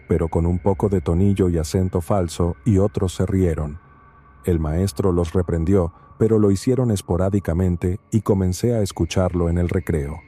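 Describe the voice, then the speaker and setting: Male narrator, low and very dark voice, steady measured read for a horror story channel. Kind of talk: scripted first-person narration.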